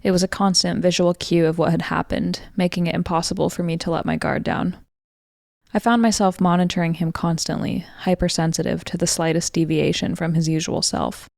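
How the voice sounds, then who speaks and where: An American woman in her twenties reads a scripted first-person story in a measured, reflective way, as a narrator. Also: fairly low pitch, vocal fry, darkish tone, dry recording, studio quality. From Colorado, United States. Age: 20s. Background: music.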